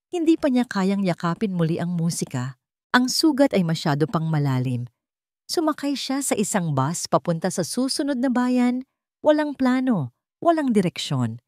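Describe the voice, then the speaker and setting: A woman with a Filipino accent narrates a scripted story in a solemn, measured, dramatic way, pausing deliberately between phrases. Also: mid-range voice, darkish tone, no echo, studio quality. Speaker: audiobook narrator.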